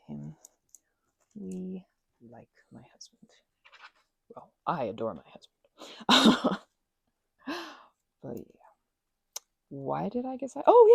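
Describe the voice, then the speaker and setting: A woman hosting an ASMR channel, rambling in a chatty, giggly way with lots of fillers and a breathy voice that slips into whispers. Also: lowish voice, dry recording. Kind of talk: soft-spoken rambling chat.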